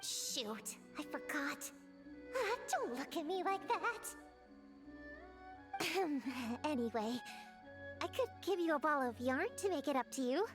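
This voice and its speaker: A know-it-all little witch girl, high and lively in pitch. She lectures and gives orders in an earnest tone over faint game music. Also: slow, long pauses. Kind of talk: game voice acting.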